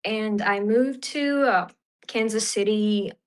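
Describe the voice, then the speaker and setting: A woman's voice: mid-range voice, slow, smooth. A young woman from Fiji, a podcast guest and economist, talking casually with many fillers. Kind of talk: casual interview.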